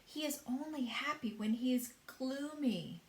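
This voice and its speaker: A woman's voice: sits high, expressive, unhurried, reverberant, pitched above the performer's own voice. A woman doing a fretful little ladybug. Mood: whispering. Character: anxious female ladybug, kind and fretful.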